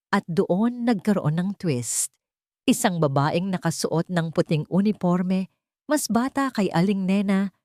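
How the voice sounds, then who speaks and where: A woman's voice: mid-range voice, a little mellow, no echo, studio quality. A woman reading a scripted dramatic story as narrator, in a solemn, theatrical manner.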